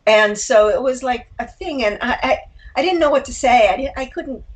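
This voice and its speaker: An older woman talking reflectively as an interview guest, a writer and actor who tells personal stories haltingly, with candid asides. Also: sits high, low-quality recording.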